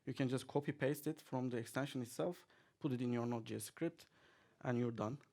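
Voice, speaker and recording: Man giving a relaxed tech talk. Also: medium pitch, fairly quick. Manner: relaxed and chatty, with many fillers, chuckling now and then. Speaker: conference speaker. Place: conference hall, audience present.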